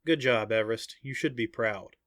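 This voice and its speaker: Man voicing a brisk, commanding female team captain. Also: mid-range voice, a bit bright, no echo, clean studio sound, natural voice. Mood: approving. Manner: commanding, clipped. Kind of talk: audiobook character dialogue.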